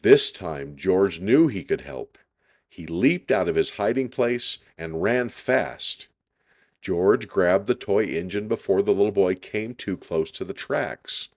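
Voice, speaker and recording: A man reading a children's story aloud in an unhurried, deliberate storytelling style. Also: sits low, expressive, darkish tone.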